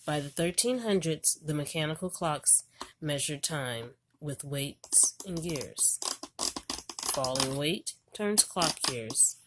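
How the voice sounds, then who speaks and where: A woman with a low voice reads aloud from an educational picture book for children. Her phrasing is deliberate, in the way of someone telling a storybook to young learners. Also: expressive, a bit bright, a little hiss or hum. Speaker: homeschool parent reading a book aloud.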